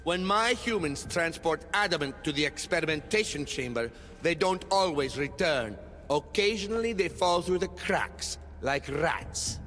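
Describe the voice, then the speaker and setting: Game villain: haughty, sneering overseer, higher-set incisive voice, heavy hall reverb. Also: male voice, animated, unhurried, fairly bright tone, soft background music. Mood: sneering, menacing. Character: haughty, cold male overseer who scorns humans. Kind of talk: villainous video game acting. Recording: long cavernous reverb.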